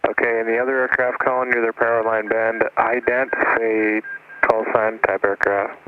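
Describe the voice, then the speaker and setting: Narrow, noisy radio band; male controller, even-toned, clipped delivery. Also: on the low side, normal speed. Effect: aircraft radio. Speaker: air traffic controller. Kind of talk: clipped aviation radio communication. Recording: tinny, noisy aviation radio.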